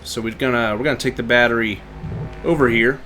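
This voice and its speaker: A man's voice: mid-range voice, fairly even intonation, fairly quick, sharp tone, soft background music. A man livestreaming a video game with casual running commentary. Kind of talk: casual let's play commentary.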